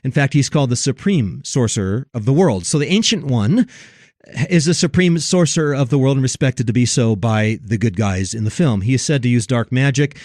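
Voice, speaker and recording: A man's voice: mid-range voice, lively intonation, fairly quick, darkish tone, no echo, clean studio sound. A man hosting a religious discussion, giving opinionated commentary in an emphatic, preacherly way that leans on key words.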